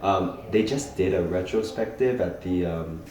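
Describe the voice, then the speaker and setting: Young man, from Hawaii, very deep voice, halting, thoughtful, heavy room echo. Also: over a noisy crowd. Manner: thoughtful, halting, many ums and restarts. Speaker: filmmaker interviewee. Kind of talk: casual interview talk. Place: festival venue indoors. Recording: very echoey room.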